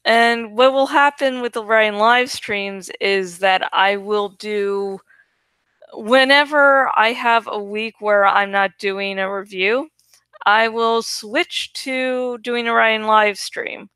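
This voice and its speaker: A woman's voice: on the high side, fairly even intonation, slow, fairly bright tone, smooth, no echo. A woman host chatting casually to her viewers, swearing freely and laughing between remarks, while not feeling fully well. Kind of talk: casual chat to camera.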